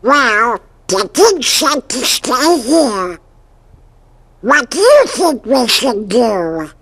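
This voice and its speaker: An actor voicing a worried, caring animal sidekick in a cartoon video game, with a raspy voice. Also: mid-range voice, sing-song, very slow, a bit bright, full character voice.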